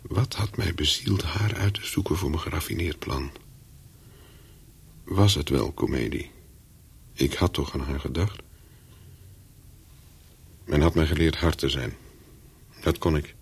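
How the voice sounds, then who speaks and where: An actor playing a calculating, persuasive young spy in a radio play, with terse, controlled speech and a husky voice. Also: male voice, very deep voice, a little slow, pauses often, exaggerated voice. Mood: reflective narration. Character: calculating young male spy, persuasive, looking back as narrator. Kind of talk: radio play dialogue and first-person narration.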